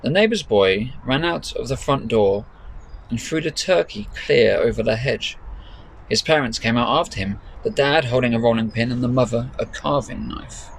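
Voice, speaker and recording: A man reading his own story as dramatic narration, with measured, deliberate pauses. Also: mid-range voice, lively intonation, gravelly, low-quality recording. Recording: faint background noise.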